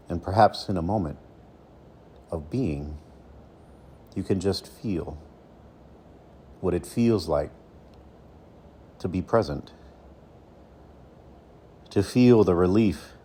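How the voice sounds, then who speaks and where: A man leading a guided meditation as a coach, speaking slowly and soothingly with deliberate pauses between phrases. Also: deep voice, dark tone, breathy edge.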